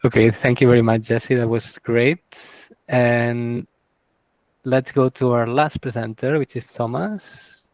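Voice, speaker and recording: A man's voice: mid-range voice, steady tone, measured pace, darkish tone, no room sound. A man hosting an online meetup over a video call, thanking presenters and handing over to the next one. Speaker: host.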